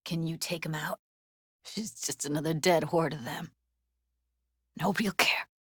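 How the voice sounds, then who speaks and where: A young female techie from a cyberpunk game, tough and sardonic with raw feeling underneath. Her voice sits a little low and is recorded very dry and close. The delivery is naturalistic, blunt and full of street slang. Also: a little slow, fairly bright tone, breathy edge, no room sound, heavily acted. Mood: subdued, bitter grief. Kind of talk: naturalistic video game voice acting.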